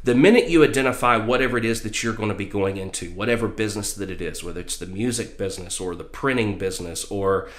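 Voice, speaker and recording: A man's voice: fairly low pitch, fast talker, hardly any pauses. Middle-aged man, motivational talk, echoey room. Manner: emphatic, repeats phrases for stress. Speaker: vlogger giving career advice. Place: small room indoors. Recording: roomy, echoey indoor sound.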